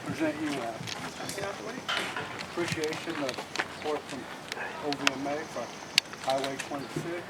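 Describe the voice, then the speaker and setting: A man presenting certificates of appreciation at an outdoor ceremony, speaking haltingly. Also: fairly high pitch, fairly even intonation, recorded from a distance. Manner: halting, hesitant reading. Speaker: veterans group representative presenting certificates. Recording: loud background noise.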